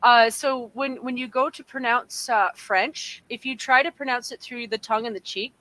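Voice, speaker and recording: Canadian lady on a podcast, slightly high voice, chatty and meandering. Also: steady tone, moderate pace, bright, forceful tone, rough recording. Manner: rambling, full of fillers and restarts. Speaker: podcast co-host. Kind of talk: casual chat.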